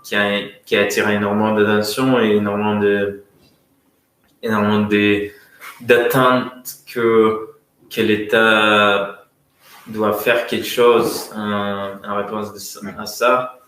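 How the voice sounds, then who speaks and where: A man from Russia with a Russian accent speaks French as a guest economist at a conference, often pausing to search for words. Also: lowish voice, flat delivery, a bit bright. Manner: hesitant, searching for words, many fillers and restarts. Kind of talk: conference talk. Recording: very echoey room.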